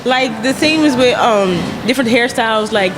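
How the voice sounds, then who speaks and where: A woman's voice: a little high, normal speed, a crowd in the background, poor audio. A young woman from Ghana talking casually and informally as a guest in a panel discussion. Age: young adult. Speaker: guest, PR agent. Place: glass-fronted cafe, indoors at a table. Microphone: shared handheld interview microphone. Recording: clear background noise of busy venue.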